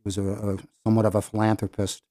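A man's voice: lowish voice, monotone, fast talker, warm tone. An older man co-hosting a casual podcast, easygoing as he reminisces. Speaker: podcast co-host.

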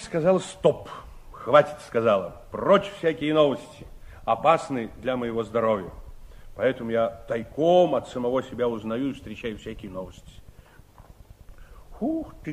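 Hear a man acting an ageing veteran of the revolution in a 1960s Soviet radio play. His medium-pitched voice moves expressively, with wistful reflection, theatrical emphasis and an uncle's kindness. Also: lively intonation, moderate pace, lightly acted. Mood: kindly, playful.

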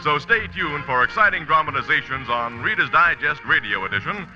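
A man's voice: fairly high pitch, expressive, a little fast. An American man announcing for 1940s radio, with a polished, emphatic sales delivery. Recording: dull vintage radio recording, faint music.

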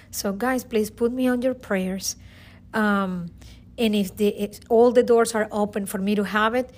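A woman's voice: mid-range voice, darkish tone. A middle-aged woman from Venezuela with a Venezuelan Spanish accent, a teacher giving an earnest, emotional personal testimony with many restarts. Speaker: teacher and guest.

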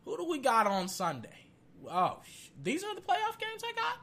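A young man hosting a casual sports podcast, brash and excitable, talking through a sore throat as he loses his voice. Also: extremely high pitch, normal speed. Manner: brash and excitable, hypes with "ladies and gentlemen".

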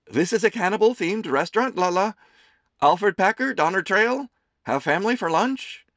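A man voicing a doting, gossipy, middle-aged mother who runs a diner, loud and theatrical. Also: lively intonation, moderate pace, no room sound, studio quality. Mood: teasing. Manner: loud, theatrical, high chirpy voice.

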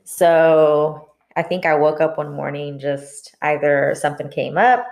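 A woman co-hosting a podcast, telling relaxed stories with many fillers. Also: low-pitched, unhurried. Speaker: podcast co-host. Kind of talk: casual chat.

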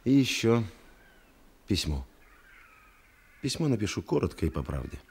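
An actor playing a plain, restrained male police detective in a radio play, laconic and hesitant, with deliberate phrasing. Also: fairly low pitch, very animated, slightly rough, heavily acted. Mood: resolute, musing. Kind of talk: Russian radio play acting.

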